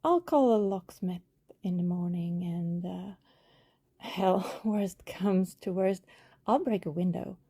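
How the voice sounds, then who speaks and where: Woman playing a husky, hesitant vampire neighbor. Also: fairly low pitch, full character voice. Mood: relaxed, chatty. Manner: hesitant, rambling, soft-spoken. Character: friendly, apologetic female vampire posing as shy night-shift neighbor. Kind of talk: intimate ASMR roleplay acting.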